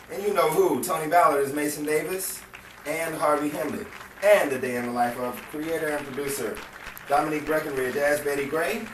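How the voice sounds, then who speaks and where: A man with a medium-pitched voice reads as an old-time radio drama announcer. He sounds set back from the mic in an echoing room, with clear noise in the recording. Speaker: announcer reading cast credits. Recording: echoey distant room, clear background noise.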